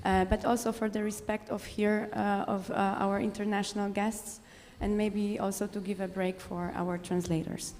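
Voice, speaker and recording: A woman from the audience, speaking English as a philosopher at a conference, direct and insistent, often pausing with fillers. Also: medium pitch, level tone, a little mellow, in a large hall. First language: Polish. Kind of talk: conference floor remarks. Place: conference hall.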